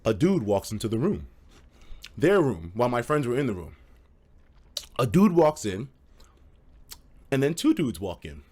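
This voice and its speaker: A man streaming casual, animated stories to a live chat, with slangy, profane phrasing and dramatic emphasis. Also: mid-range voice, a little slow, long pauses, breathy edge. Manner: profane, slangy, acts out quoted dialogue; dramatic emphasis and repetition for suspense.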